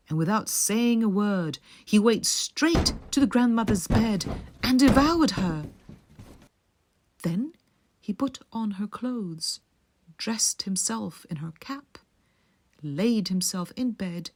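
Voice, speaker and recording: A woman storyteller narrating a fairy tale for sleep in a soothing, measured way, often hushing the ends of phrases. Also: medium pitch. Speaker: bedtime storyteller.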